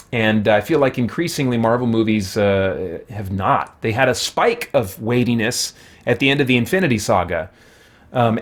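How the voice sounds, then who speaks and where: Thoughtful man chatting as he plays. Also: fairly low pitch. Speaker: host of a roleplay game playthrough. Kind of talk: casual, rambling gaming commentary.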